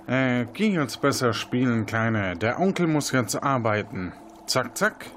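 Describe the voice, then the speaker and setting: An actor playing a quirky lab inventor in an interactive audio drama, chatty, sardonic and a little condescending. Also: male voice, mid-range voice, animated, measured pace, fairly bright tone, slight background noise.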